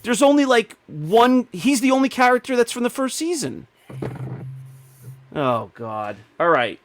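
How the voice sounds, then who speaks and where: A man's voice: extremely high pitch, big pitch swings, unhurried. A man hosting a podcast, chatting casually with a group. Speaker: podcast host.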